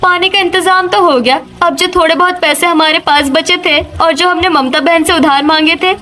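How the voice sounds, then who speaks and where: A woman's voice: very high voice, steady tone, normal speed, pushed and bright. A woman voicing a practical, outspoken young village daughter-in-law in a Hindi cartoon story.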